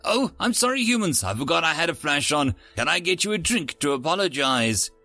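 Man voicing an eager, high-pitched alien tourist. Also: fairly quick, no echo, soft background music, heavily acted. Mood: apologetic. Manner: over-polite, earnest, excitable. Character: eager, polite, naive male alien tourist.

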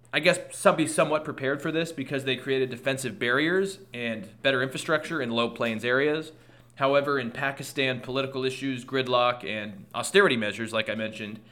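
Man, podcast host, bright voice, losing his voice, conversational news commentary with asides. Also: mid-range voice. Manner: conversational, thinking aloud with restarts and asides.

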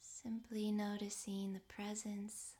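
Slow, soft woman guiding meditation. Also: mid-range voice, level tone. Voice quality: soft, breathy, half-whispered. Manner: gentle, unhurried, with long pauses. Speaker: yoga nidra meditation guide. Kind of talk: guided relaxation meditation.

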